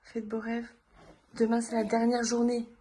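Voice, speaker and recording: A woman's voice: fairly high pitch, monotone, moderate pace, mellow. A woman in her thirties, a mother, cheerfully narrating a family holiday vlog to her handheld camera. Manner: chatty, cheerful, talking to her children and to viewers. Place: outdoors on a holiday trip. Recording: noisy outdoor background, passing vehicles.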